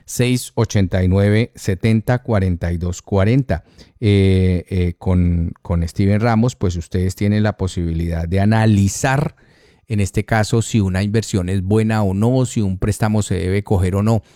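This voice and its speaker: A man hosting a live radio talk show, conversational, repeating details slowly and deliberately. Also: on the low side, steady tone, dark tone, dry recording, studio quality. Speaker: radio host. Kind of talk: live radio talk with read-out sponsor spots. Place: radio studio.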